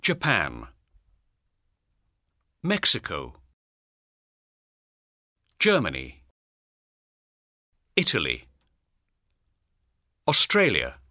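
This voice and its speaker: A man reading a word list for language learners slowly and deliberately, one word at a time. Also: low voice, wildly swooping pitch, bright, forceful tone, no room sound, clean studio sound. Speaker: course audio voice actor. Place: dry studio.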